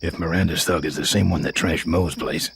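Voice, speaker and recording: An actor playing a tough, laconic biker gang leader in a video game, with a dry, sardonic, terse delivery. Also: male voice, low-pitched, steady tone, fairly quick, gravelly, a bit bright, slight background noise. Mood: wry. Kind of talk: video game cutscene acting.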